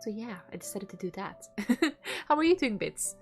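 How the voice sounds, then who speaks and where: Stuffy-nosed woman streamer, casual, reads game dialogue aloud over soft background music. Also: a little high, big pitch swings, moderate pace. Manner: reads lines aloud, swears and laughs between. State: stuffy nose.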